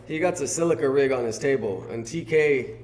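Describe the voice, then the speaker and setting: A man with a Californian accent, a guest in a casual conversation, telling stories in a relaxed, rambling way. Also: medium pitch, brisk, a little hiss or hum.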